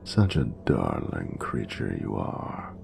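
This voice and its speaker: A man voicing an ancient, regal dragon in a romantic audio roleplay, doting and flirtatious, with gravelly, breathy, often near-whispered, grandiose and formal speech. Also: very deep voice, very animated, over music, exaggerated voice. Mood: tender, doting. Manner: grandiose, formal, measured phrasing. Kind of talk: romantic audio roleplay, slow intimate monologue.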